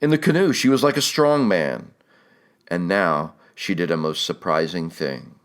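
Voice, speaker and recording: Man, deep low voice, scripted literary narration, measured storytelling, dramatic delivery. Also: lively intonation, clear, resonant tone. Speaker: audiobook narrator.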